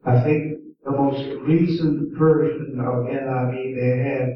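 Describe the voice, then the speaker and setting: A male preacher whose first language is Mandarin Chinese, delivering a sermon in an unhurried way with long pauses and gentle emphasis. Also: medium pitch, fairly even intonation. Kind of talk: slow, deliberate sermon. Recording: echoey hall, distant mic, dull lo-fi sound.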